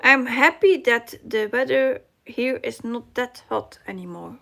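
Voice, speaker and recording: Middle-aged dutch lady chatting slowly on her live stream, high voice, stops to find the english word. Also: expressive, vocal fry, bright, forceful tone. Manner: halting, searching for English words, reads chat aloud. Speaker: cross-stitch live stream host. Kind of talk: casual live chat reading comments.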